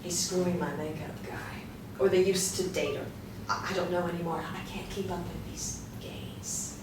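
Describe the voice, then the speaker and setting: A woman's voice: lowish voice, fairly even intonation, moderate pace, natural voice. A woman playing a vain, hot-tempered rich hostess, emphatic and indignant, in a stage play reading. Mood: boastful. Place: small indoor room, seated with scripts. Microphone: distant camera. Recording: echoey room, distant mic, faint noise.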